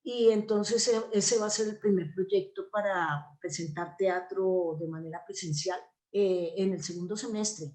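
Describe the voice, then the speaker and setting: A woman's voice: medium pitch, measured pace, hardly any pauses, a little mellow. Colombian woman answering in an interview. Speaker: interview guest, theatre practitioner.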